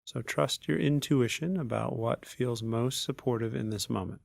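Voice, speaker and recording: A man leading a guided meditation as a teacher, speaking in unhurried, measured phrases with long pauses. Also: medium pitch, gravelly, dry recording.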